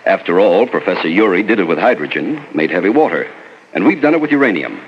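An actor playing a thoughtful, measured scientific doctor who explains in a reasoned way in a 1940s radio drama. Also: male voice, low-pitched, very animated. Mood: pondering. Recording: dull old radio recording, faint noise.

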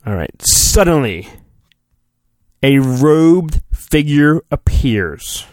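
A man with a fairly high, very animated voice reads a storybook in a theatrical, mock-dramatic style. He takes his time and often breaks off into irreverent comments. The recording is dry and close. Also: very slow, no room sound. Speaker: podcast host. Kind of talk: mock-dramatic storybook reading with crude comic asides.

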